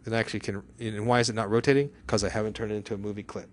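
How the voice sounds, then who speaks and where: A man giving a casual software tutorial as an instructor, chatty, with exclamations and frequent rhetorical check-in questions. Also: fairly low pitch, flat delivery, very fast, slight background noise.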